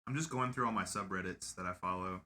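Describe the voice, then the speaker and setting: Man, casual podcast co-host, rambling, mid pitch, noisy background. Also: fast talker, pushed and bright. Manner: loose, trailing off, lots of fillers. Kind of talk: casual chat. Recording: clear background noise.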